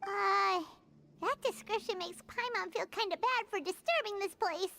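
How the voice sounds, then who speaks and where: A woman voicing a tiny, excitable fairy companion in a video game, with a high, squeaky, childlike voice. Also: moderate pace, slight background noise, heavily acted. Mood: uneasy. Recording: game audio under music.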